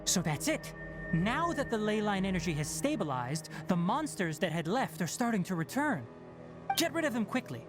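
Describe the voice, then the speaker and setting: A man's voice: high-pitched, very animated, moderate pace. An actor voicing a young, fox-eared male forest ranger in a video game, who talks in a precise, explanatory way. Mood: focused. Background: game music.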